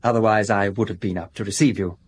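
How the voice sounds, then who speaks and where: Fussy, slightly husky genteel decorator. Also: male voice, on the low side, fairly even intonation, fast. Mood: polite, stiff. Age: 30s. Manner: precise, politely stiff, fussy. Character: fastidious, shy, genteel male architect-decorator in his thirties. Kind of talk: radio drama acting.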